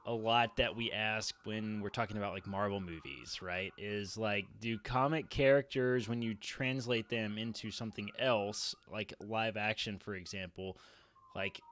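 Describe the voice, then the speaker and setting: A man's voice: lowish voice, a little slow, fairly bright tone. A man in his thirties talking casually and in a rambling way as a podcast co-host, close on a studio mic. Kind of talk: casual roundtable discussion. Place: small home room, indoors. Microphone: boom-arm studio mic up close.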